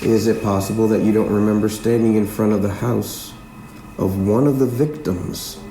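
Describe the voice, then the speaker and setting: A man playing a patient but firm small-town police chief who questions insistently and with measured pauses, in a naturalistic fiction podcast. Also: fairly low pitch, fairly even intonation, mellow, slight background noise, close to an everyday voice. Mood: probing. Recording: roomy echo, music underneath.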